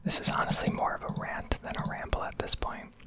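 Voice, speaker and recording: A young adult woman speaking in a breathy, unvoiced ASMR whisper close to the microphone, rambling in an opinionated, sweary way with hesitant pauses. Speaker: ASMR gaming streamer. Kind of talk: whispered ASMR rambling rant over gameplay.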